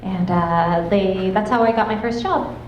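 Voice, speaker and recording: A young woman voice actress chatting and laughing on a convention panel, with a self-described soft voice. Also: medium pitch, a little slow, muffled recording. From Los Angeles, California. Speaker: guest voice actress. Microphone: handheld panel mic. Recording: echoey room, clear background noise.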